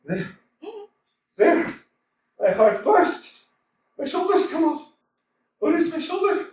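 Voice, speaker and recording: A man's voice: very high voice, very animated, slow, a little mellow, slight background noise, heavily acted. An actor in a community staged reading, playing a nervous, hypochondriac young suitor who whines melodramatically about his aches. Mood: melodramatic. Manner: fretful, whiny, melodramatic. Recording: echoey hall from far off.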